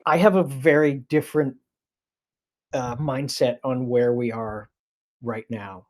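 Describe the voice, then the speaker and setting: Casting: middle-aged Canadian guy, interview guest, halting and wry, mid-range voice. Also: mid-range voice, fairly even intonation, darkish tone. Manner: hesitant, many restarts, wry. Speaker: animation producer, guest. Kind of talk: casual interview storytelling.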